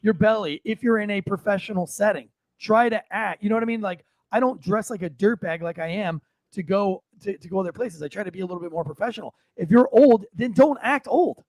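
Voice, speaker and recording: An American man with a notably high voice for a male speaker gives casual, opinionated podcast banter. He is blunt and hammers phrases by repeating them, recorded dry and close. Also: fairly quick, hardly any pauses, no echo, rough recording. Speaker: podcast co-host.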